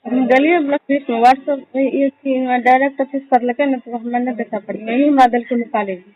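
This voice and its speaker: A woman's voice: high voice, fairly even intonation, fairly quick, slightly rough, no echo. A young woman speaks. It is a personal phone conversation, recorded with a dull, muffled sound.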